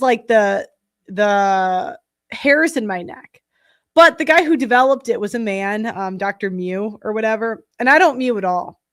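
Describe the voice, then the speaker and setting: A young woman with a nasal voice and heavy breathing, chatting bluntly and casually to viewers as a podcast host. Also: a little high. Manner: rambling, blunt, many fillers. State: breathing heavily.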